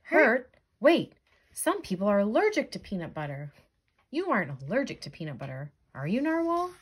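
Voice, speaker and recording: A woman's voice: mid-range voice, huge pitch swings, moderate pace, slightly rough. A woman voicing an earnest, exasperated jellyfish friend in a storybook, questioning and coaxing. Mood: concerned.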